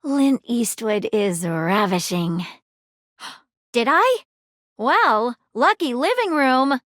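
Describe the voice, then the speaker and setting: A woman's voice: a little high, very animated, extremely slow, fairly bright tone, dry recording, studio quality, full character voice. A woman voicing a nosy, flirtatious female magnifying glass detective in a video game, with a playful manner. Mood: flirty. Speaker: dateable game character. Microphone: close studio mic.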